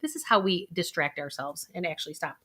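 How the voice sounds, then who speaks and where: A woman's voice: on the low side, expressive, fairly quick, sharp tone. A middle-aged woman, a financial planner, coaching the viewer through a guided intuition exercise with breathing.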